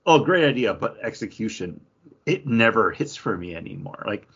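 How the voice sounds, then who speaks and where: Male podcast co-host, low and raspy register, rambling conversational review style, dull lo-fi audio. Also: animated, normal speed, fairly bright tone. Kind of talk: casual chat, book review.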